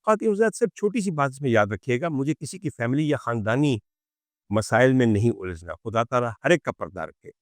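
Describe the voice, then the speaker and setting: A man giving an opinionated commentary to viewers, indignant and sarcastic, using rhetorical questions and pauses for emphasis. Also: mid-range voice, lively intonation, dry recording, clean studio sound. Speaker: commentary show host.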